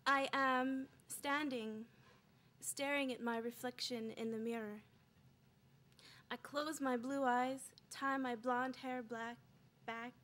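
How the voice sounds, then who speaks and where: A woman reading her spoken-word poetry live, in measured short phrases with dramatic pauses. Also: fairly high pitch, fairly bright tone. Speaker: poet reading own work.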